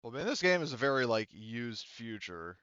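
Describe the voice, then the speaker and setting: A man running a tabletop roleplaying game as game master, unscripted and halting as he searches for words. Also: medium pitch, big pitch swings, fairly bright tone, clear, resonant tone, no room sound, highs cut off.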